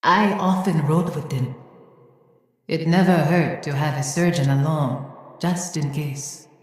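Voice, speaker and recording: A wise, gentle woman for a video game, speaking in a low, dark tone. She reminisces slowly and earnestly, her voice washed in long, heavy reverb. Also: fairly even intonation. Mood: tender, reflective. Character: wise, gentle woman storyteller, a former healer. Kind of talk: reflective video game monologue.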